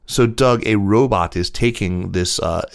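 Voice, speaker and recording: Low-voiced man hosting a news podcast. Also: a little slow, vocal fry. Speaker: podcast host and news reader. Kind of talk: scripted news reading and hosting.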